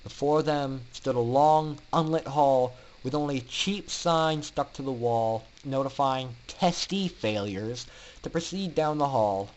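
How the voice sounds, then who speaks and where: A man's voice: medium pitch, a little slow, a little mellow, lo-fi sound. A man narrating a fan fiction story in a dramatic reading style.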